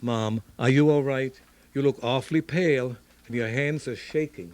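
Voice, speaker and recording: A man's voice: medium pitch, normal speed, a little mellow, close to an everyday voice. A man playing an earnest, devoted scientist and caring son in an old-time radio drama. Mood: worried, urgent. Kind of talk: Golden Age radio drama acting.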